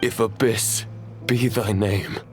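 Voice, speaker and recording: A grave, chivalrous knight of a fantasy game. He speaks slowly in a mid-pitched voice, with formal, archaic, righteous oratory over clearly audible music. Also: fairly even intonation. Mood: grave, resolute. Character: solemn, righteous male knight swearing by chivalry. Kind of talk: dramatic video game voice acting.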